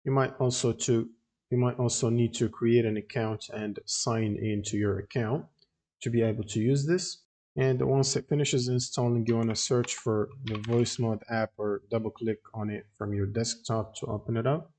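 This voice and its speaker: A man narrating a step-by-step software tutorial in a plain, instructional way. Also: medium pitch, fairly even intonation, normal speed.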